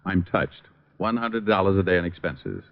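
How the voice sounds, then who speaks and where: An actor playing a flippant, self-assured private detective with a breezy, sardonic delivery in an old-time radio drama. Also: male voice, low voice, expressive, moderate pace, vocal fry. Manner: breezy, sardonic, dry wit. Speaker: lead actor and narrator. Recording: dull vintage radio recording with music.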